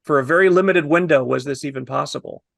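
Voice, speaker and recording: A man in his fifties with a fairly high voice that crackles with fry. He talks casually and admiringly as a panelist reviewing a film, and the sound is close and dry. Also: fast, no echo. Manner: enthusiastic, admiring. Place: indoors, on a video call.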